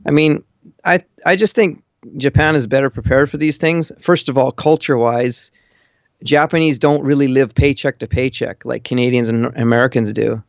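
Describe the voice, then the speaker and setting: A man's voice: medium pitch, fairly even intonation, moderate pace, dry recording. A man calling in over an online voice call, chatting casually with blunt, matter-of-fact opinions. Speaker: caller living in Japan. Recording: muffled lo-fi internet call.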